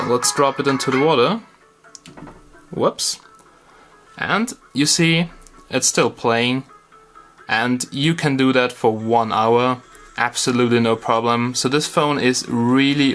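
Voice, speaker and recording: A man's voice: mid-range voice, a bit bright. A man giving a casual hands-on product review in an informal, matter-of-fact way. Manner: informal, matter-of-fact, occasional mild swearing. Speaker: tech reviewer and presenter. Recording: clearly noisy location recording.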